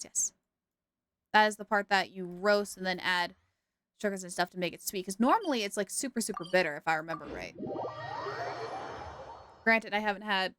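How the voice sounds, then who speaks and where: A woman's voice: medium pitch, a bit bright, dry recording. Chatty woman streamer from the States. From United States. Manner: chatty, with many "like"s. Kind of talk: casual chat while playing.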